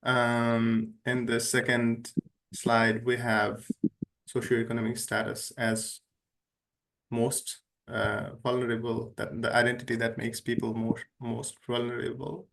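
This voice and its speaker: A man's voice: mid-range voice, monotone, measured pace, gravelly, fairly bright tone, low-quality recording. A man on a video call reading out online poll results in a workshop, with many fillers and restarts. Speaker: workshop co-facilitator.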